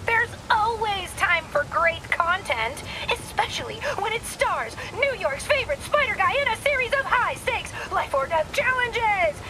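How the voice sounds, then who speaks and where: A woman's voice: very high-pitched, expressive, measured pace, hardly any pauses. A woman playing a young, taunting livestreamer villain in a video game, perky and theatrical like an overexcited internet host. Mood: gleeful hype. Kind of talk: exaggerated streamer hype in a video game. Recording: loud city game noise behind.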